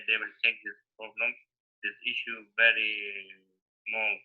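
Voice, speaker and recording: A young man in his twenties, from Afghanistan and raised in Pakistan, earnestly telling his story in an interview with some hesitation. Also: on the low side. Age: 20s. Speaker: refugee guest. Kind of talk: webinar interview answer. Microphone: video call. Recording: tinny video-call audio.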